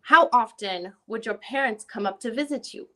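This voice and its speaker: A woman plays a methodical female attorney questioning a witness. Her voice is bright and forceful, a little high. The questioning is formal, deliberate and probing. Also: close to an everyday voice. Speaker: reader playing a lawyer. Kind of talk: dramatic reading of a deposition.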